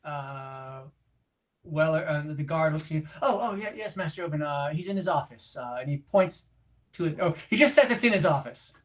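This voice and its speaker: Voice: mid-range voice, animated, moderate pace. A game master running an online tabletop game, improvising scene narration and talking through rules in a casual, conversational way.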